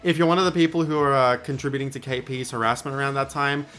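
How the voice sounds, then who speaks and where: A man hosting a casual podcast chat, hoarse from losing his voice, talking in a halting way. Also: medium pitch, fairly even intonation, fairly bright tone. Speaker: host.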